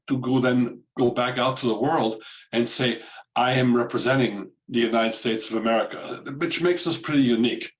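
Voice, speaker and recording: An Austrian-accented man in his sixties moderates a panel with formal courtesy. His voice sits at a middling pitch, and he picks his words haltingly and with care. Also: rough recording. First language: German. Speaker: moderator, retired diplomat. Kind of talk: semi-formal panel remarks. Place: video call webinar.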